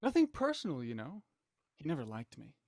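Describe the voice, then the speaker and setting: An actor playing a smug, menacing villain in an audio drama, mocking, theatrical and condescending in manner. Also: male voice, on the high side, huge pitch swings, a little fast, no echo, clean studio sound, full character voice. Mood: cruel, commanding. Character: smug, menacing male villain, cold culprit and puppet-master writer.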